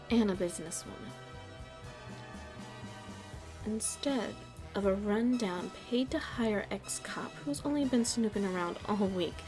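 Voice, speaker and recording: A woman playing a shrewd, proud nightclub headliner in the mafia in an ASMR roleplay, speaking deliberately in a haughty, taunting manner. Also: medium pitch, natural voice. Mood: indignant, proud. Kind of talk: ASMR roleplay audio drama.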